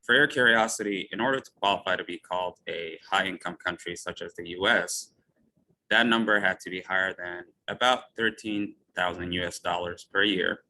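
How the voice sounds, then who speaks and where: A physician from Ethiopia gives a lecture in a deep, bright and forceful voice. He talks in a measured way and leans on personal storytelling. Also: low-quality recording. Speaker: physician speaker. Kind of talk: lecture talk.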